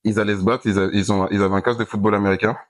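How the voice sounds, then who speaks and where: A man's voice: on the low side, rapid-fire. A young man from France, a football player talking casually in an interview, rambling and repeating himself as he plays a video game. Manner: relaxed, rambling, restarts and repeats phrases, slangy. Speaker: American football player, guest.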